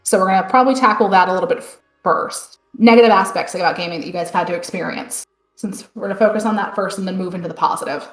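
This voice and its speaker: A woman hosting a live online panel discussion, chatty and relaxed. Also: medium pitch, fast talker, reverberant, faint music behind. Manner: relaxed, chatty, many fillers like "end up". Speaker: panel host.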